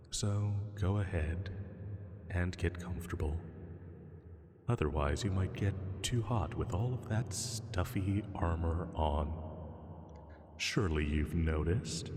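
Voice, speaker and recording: Man acting as a giant dragon, low-pitched, teasing purr, soothing yet faintly menacing, roleplay audio, long cave-like reverb. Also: lively intonation, a little mellow. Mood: coaxing, languid. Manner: slow, soothing, teasing purr with drawn-out pauses. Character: huge male dragon, lazily amused, gently menacing. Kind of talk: roleplay sleep-aid audio drama.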